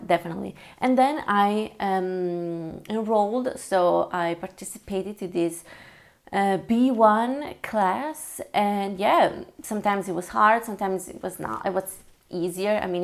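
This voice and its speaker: A young woman whose first language is Italian, talking casually in English with an Italian accent, chatty and full of fillers and restarts. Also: mid-range voice. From Italy. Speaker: vlogger. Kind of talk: casual talk to camera.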